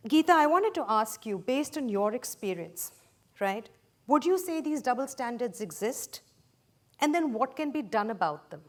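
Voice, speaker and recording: Higher-voiced woman moderating a panel. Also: very animated. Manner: measured, questioning, cites statistics. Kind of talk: panel discussion.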